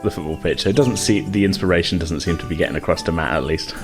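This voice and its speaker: Low-voiced man narrating a game. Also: fast talker, music in the background. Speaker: game master. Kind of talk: improvised narration of a tabletop game.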